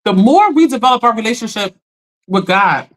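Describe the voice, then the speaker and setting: Woman, livestream host, chatty, talks to chat, voice recovering from being lost. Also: moderate pace. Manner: chatty, talks straight to chat, animated pitch jumps.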